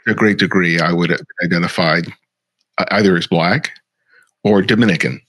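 Deep-voiced man interviewing unhurriedly. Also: fairly even intonation, a bit bright, dry recording. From Los Angeles. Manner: unhurried, sharing personal stories. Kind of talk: conversational interview.

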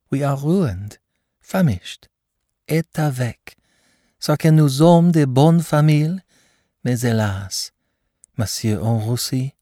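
A man's voice: fairly low pitch, darkish tone, breathy edge, no room sound, studio quality, voice pushed up, full character voice. A man reading an audiobook, voicing a young émigré mother who gushes and slips French phrases into her English. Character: anxious, effusive young émigré mother, woman.

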